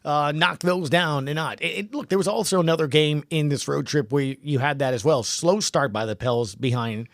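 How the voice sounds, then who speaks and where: A man's voice: fairly high pitch, normal speed, hardly any pauses, dry recording, clean studio sound. A man hosting a sports podcast, giving casual commentary in emphatic, punchy conversational phrases.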